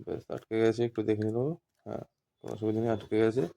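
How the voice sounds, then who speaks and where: A man's voice: lowish voice, completely flat delivery, racing, vocal fry. A man with a very level, unanimated voice gives an informal practical demonstration. He goes step by step and often repeats himself. The recording has noticeable noise and small clicks. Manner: repetitive step-by-step explaining. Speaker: instructor demonstrating walkie-talkies. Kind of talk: informal hands-on tutorial.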